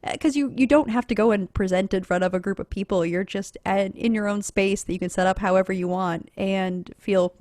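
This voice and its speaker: A woman's voice: mid-range voice, steady tone, fairly quick, few pauses, darkish tone, no echo, clean studio sound. A woman podcaster on a live panel, explaining things conversationally as she thinks aloud.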